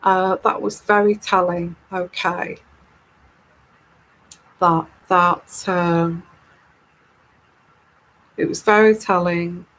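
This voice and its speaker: Slow, calm-voiced woman. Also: lowish voice, low-quality recording. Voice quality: calming, soothing voice. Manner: unhurried, chatty and rambling. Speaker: tarot reader and live-stream host. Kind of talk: casual live-stream talk reading viewer comments.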